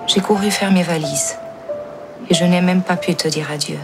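An actress voicing a woman who reads out a secret farewell letter in a film, in a quiet, confiding way. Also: lowish voice, fairly even intonation, moderate pace, over loud music, natural voice. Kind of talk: film voice-over reading a letter. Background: loud film score.

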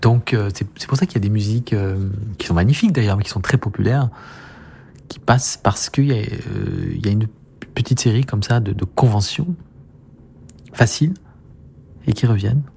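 A man, a pianist, speaking as a guest in a talk, halting and reflective with many restarts. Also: lowish voice, gravelly, darkish tone, a little hiss or hum. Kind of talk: conversational radio talk.

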